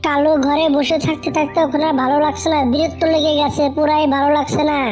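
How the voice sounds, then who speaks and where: A woman's voice: high-pitched, little pitch movement, fast talker, darkish tone, close to an everyday voice. A woman voicing chatty, fretful female birds in an amateur cartoon, with an insistent manner. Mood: bored, irritated. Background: music.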